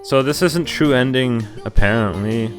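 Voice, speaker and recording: Casual male game streamer, average pitch, wry muttered asides with mild exasperation, game music underneath. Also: moderate pace. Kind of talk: casual live gaming stream commentary. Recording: music playing behind the voice. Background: video game music.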